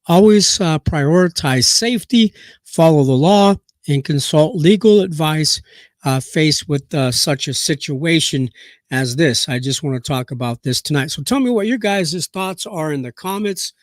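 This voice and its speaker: A man from Nebraska hosting a boxing news live stream, hoarse from a cold, reading aloud haltingly with many fillers and restarts. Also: fairly high pitch, animated, no room sound, clean studio sound.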